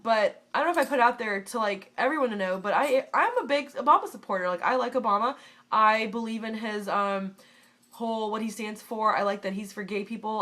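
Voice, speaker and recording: A woman's voice: a little high, a bit bright. Congested young woman, blunt vlogger. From United States. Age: 20s. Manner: blunt and opinionated, swears freely. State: congested from a cold. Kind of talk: casual Q&A vlog.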